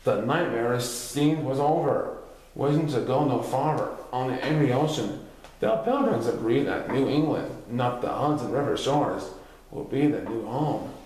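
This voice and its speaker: A man's voice: medium pitch, expressive, measured pace, a little mellow. A man reading a written history story aloud as a narrator, occasionally stumbling over a word. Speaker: reader. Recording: very echoey, reverberant room.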